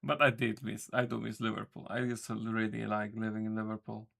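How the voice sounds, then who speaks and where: Gravelly-voiced man chatting casually. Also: on the low side, flat delivery, brisk, fairly bright tone. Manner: rambling, self-correcting, casual swearing. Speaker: game developer streaming. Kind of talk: casual chat with stream viewers. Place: home room.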